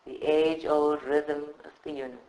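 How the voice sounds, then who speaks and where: A man leading a guided meditation in a calm, soothing, measured way with long pauses. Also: a little high, lo-fi sound. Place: indoor room at a group meditation session. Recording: roomy, echoing live recording.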